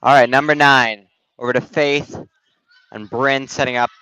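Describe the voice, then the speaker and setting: A young man doing amateur play-by-play for a volleyball game over a headset, his voice slightly raspy, casual and halting with restarts. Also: mid-range voice, steady tone, pushed and bright, dry recording. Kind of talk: amateur sports commentary. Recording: dull, dry gym-stream audio.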